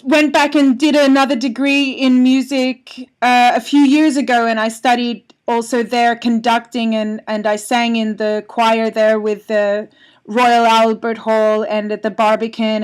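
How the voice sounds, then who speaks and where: A friendly woman from Australia speaks as a guest over the phone, her voice sitting a little high. She chats freely and warmly about her life and music, laughing easily between thoughts. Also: smooth. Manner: friendly, chatty, laughs often. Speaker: singer-songwriter guest. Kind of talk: radio interview by phone. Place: home in London. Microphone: telephone.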